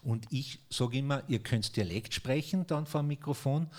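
Casting: radio host, male, mid-pitched, conversational, loose phrasing with filler sounds. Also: moderate pace. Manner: conversational, many fillers and restarts. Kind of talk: radio interview.

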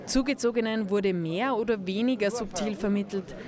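A woman's voice: mid-range voice, moderate pace, crowd noise. Woman narrating a report.

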